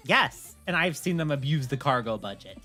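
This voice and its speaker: A woman's voice: rumbling low, lively intonation, moderate pace, sharp tone. A woman streamer talking casually on a livestream, making her points in a firm, emphatic, argumentative way. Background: music.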